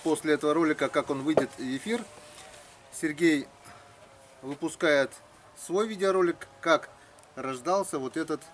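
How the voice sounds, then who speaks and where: A man's voice: on the high side, normal speed, long pauses. Man chatting casually, faint outdoor noise. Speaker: vlog host visiting a craftsman. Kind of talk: casual chat. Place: outdoors at a village garden plot.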